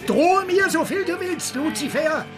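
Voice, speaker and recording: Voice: very high-pitched, animated, unhurried. An actor playing a sanctimonious, eccentric male preacher in a radio drama, declaiming in a preachy, theatrical way. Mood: righteous, accusing. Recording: music under the voice.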